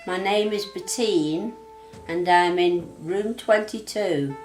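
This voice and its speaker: Older woman, slow formal address, lowish voice, roomy distant mic. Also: a little mellow, faint music behind. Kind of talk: addressing a group.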